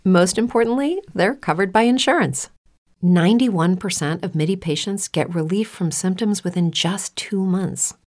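A woman's voice: mid-range voice, measured pace, a little mellow. An American woman delivering a scripted, reassuring advertisement voice-over. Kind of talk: scripted ad read.

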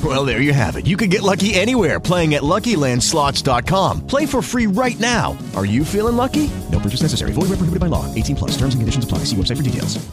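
Fast, bubbly woman in a playful ad. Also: sits low, sing-song, over loud music. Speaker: ad presenter asking people questions. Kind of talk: playful street-interview ad.

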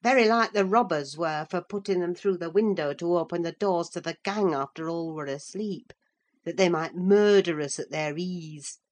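A woman's voice: lowish voice, normal speed, lightly acted. A woman voicing a suspicious manservant reporting intruders, in an audiobook.

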